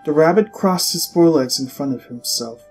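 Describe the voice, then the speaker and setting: A man reading scripted story narration in a measured, expressive way with deliberate pauses. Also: on the high side. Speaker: audiobook narrator. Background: music.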